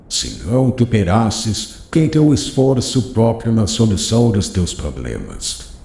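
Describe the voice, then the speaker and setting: A man voicing a wise, gentle old rabbi teacher who consoles his pupil in a slow, solemn preaching cadence. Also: lowish voice, voice pushed down, heavily acted. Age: older. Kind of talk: audiobook character reading. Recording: roomy echo.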